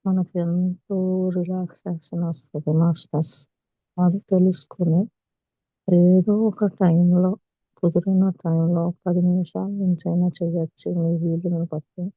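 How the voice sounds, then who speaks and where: A woman with a Telugu accent leading a guided meditation as a teacher, calm and unhurried, with long pauses. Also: fairly low pitch. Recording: muffled, echoey room recording.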